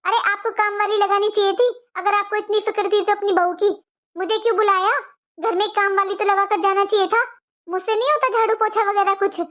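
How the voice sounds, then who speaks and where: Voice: very high voice, steady tone, a little fast, sharp tone. A performer voicing a resentful, defiant young married sister-in-law in a cartoon moral story, complaining and scolding. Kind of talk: animated moral story dialogue.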